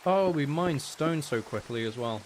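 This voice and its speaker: A man's voice: a little high, moderate pace, poor audio. Man rambling playfully over rain noise. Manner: playful, self-deprecating, rambling thinking aloud. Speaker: game streamer. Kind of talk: casual live gameplay commentary. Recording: steady rain noise behind the voice. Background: rain sounds.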